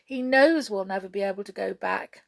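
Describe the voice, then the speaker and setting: A woman's voice: medium pitch, normal speed, vocal fry, lightly acted. A woman voicing a small, naughty male brownie, frightened and pleading in a wailing children's storybook manner. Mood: miserable.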